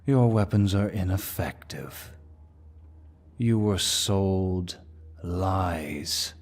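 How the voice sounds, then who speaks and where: Man acting a very deep, gravelly demon lord. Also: full character voice. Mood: smug, gloating. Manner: dominant, smug, slow and deliberate. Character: menacing, taunting male demon lord. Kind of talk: ASMR fantasy roleplay acting.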